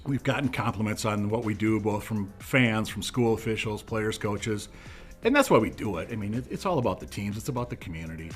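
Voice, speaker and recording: A man's voice: lowish voice, lively intonation, a little fast, soft background music. A man who announces local school games, talking in an easygoing, earnest way in an interview. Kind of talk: interview talk.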